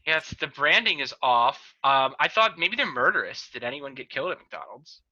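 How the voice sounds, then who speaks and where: Man, podcast host, joking, restarts sentences, very bright voice, video call, dull lo-fi audio. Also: mid-range voice, sing-song, moderate pace, dry recording. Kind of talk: casual video-call chat.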